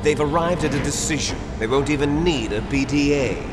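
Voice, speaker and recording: A man's voice: fairly high pitch, brisk, loud music behind the voice, natural voice. Man acting theatrical villain in a reverberant hall. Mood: bitter, agitated. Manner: theatrical, lecturing, menacing. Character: bitter, fatalistic villain, cloned son of a soldier. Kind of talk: dramatic video game villain monologue. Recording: long echoing hall reverb.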